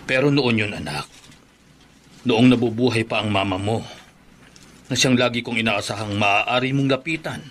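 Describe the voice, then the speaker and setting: A man playing a widowed middle-aged father in a radio drama, speaking softly and earnestly. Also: mid-range voice, normal speed, long pauses, natural voice. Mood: gentle.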